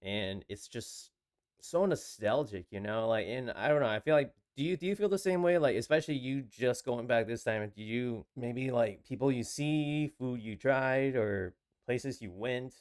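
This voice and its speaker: A man from Taiwan co-hosting a podcast, telling stories casually and haltingly, often circling back to rephrase. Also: medium pitch, expressive, a little mellow, smooth, no echo, studio quality. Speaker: podcast co-host. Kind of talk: casual conversational storytelling.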